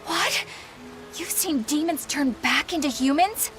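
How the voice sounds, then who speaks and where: A woman's voice: sits high, animated, unhurried, music in the background. A woman playing a proper, dutiful and stubborn young female warrior in a video game, with formal, earnest speech. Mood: surprised, urgent. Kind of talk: English video game voice acting.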